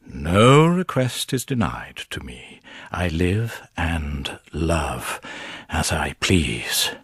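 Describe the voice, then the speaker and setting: An actor playing a scheming, self-satisfied friar in a gothic radio drama, speaking in a theatrical, insinuating way with a husky voice. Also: male voice, low voice, clean studio sound, full character voice. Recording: echoey radio play with music bed.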